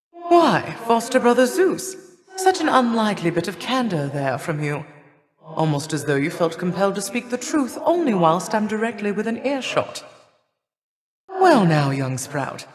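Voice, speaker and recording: A woman's voice: low voice, in a large hall. A woman playing a stern, imperious elder goddess in a video game, speaking formal, archaic lines with haughty, cold disdain. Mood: wry, haughty. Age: older. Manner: haughty, formal, archaic diction with measured scorn. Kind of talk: theatrical video game character acting.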